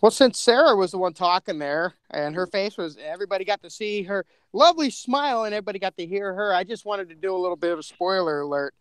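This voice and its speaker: A man with a fairly high voice hosts a casual group podcast. He speaks in a chatty, slangy way. The sound is very dry, with no room to it. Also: fast, hardly any pauses, clean tone, no echo. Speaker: podcast host and co-creator. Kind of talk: casual group chat about a horror podcast.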